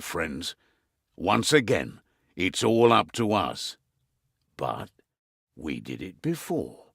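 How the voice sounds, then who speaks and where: An actor playing a gruff, weary middle-aged British innkeeper turned spymaster, briefing in a wry, conversational way for a video game. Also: male voice, lowish voice, fairly bright tone, dry recording, heavily acted. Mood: weary resolve. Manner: wry, conversational briefing with dry asides and trailing afterthoughts.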